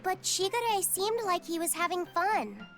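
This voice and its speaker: A woman's voice: extremely high pitch, big pitch swings, a little slow, fairly bright tone, faint music behind, full character voice. A woman voicing an earnest, innocent young boy, shy and curious, in a video game.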